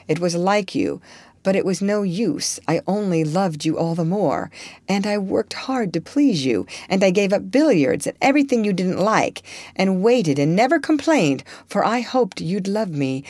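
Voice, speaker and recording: A woman's voice: medium pitch, animated, measured pace, a little mellow, no echo. A woman voicing a passionate, heartbroken young man pleading in love, in a storybook reading. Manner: pleading, impassioned.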